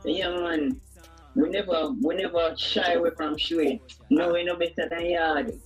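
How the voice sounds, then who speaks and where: A man's voice: fairly high pitch, fairly even intonation, moderate pace. A man from Jamaica with a rich Jamaican patois accent, talking casually as a musician guest in an interview. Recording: dull lo-fi sound with music behind.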